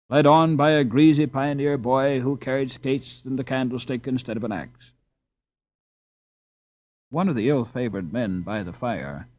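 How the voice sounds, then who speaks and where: A man reading a children's novel aloud as a scripted audiobook narration. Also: medium pitch. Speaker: audiobook reader. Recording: slightly dull, dry recording.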